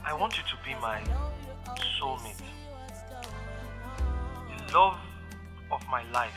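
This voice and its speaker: Casting: soft-hearted, wounded young man, audio drama lead. Halting, unhurried, expressive delivery over music. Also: mid-range voice. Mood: earnest, romantic. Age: young adult. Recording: music bed behind the voice.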